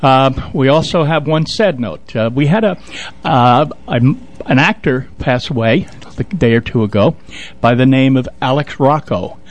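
Halting man chatting casually on radio. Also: medium pitch. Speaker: radio co-host giving news and announcements. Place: radio studio.

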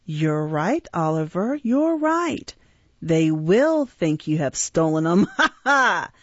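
A woman's voice: low voice, big pitch swings, slow pace, a little mellow, no echo, heavily acted. A woman reading an audiobook, voicing a sly old male thief master who talks in a fawning, mock-humble, oily way, on a dull, dry recording. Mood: gloating.

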